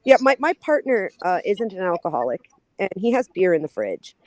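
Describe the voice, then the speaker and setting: Careful, clarifying woman, mellow and dry. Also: medium pitch, big pitch swings, moderate pace, dry recording. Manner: careful, clarifying, stammers and restarts. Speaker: recovering alcoholic. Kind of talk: casual debate.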